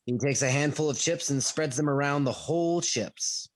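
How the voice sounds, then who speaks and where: A man's voice: on the high side, normal speed. A man reading stage directions matter-of-factly at a table read over a video call. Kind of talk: scripted narration at a table read. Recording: noisy video call with clicks.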